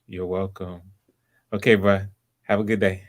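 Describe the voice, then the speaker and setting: Male host and coach, fairly deep voice, easygoing talk, supportive and reassuring to performers. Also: flat delivery, moderate pace. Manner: encouraging, reassuring. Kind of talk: casual spoken coaching. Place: live online video call.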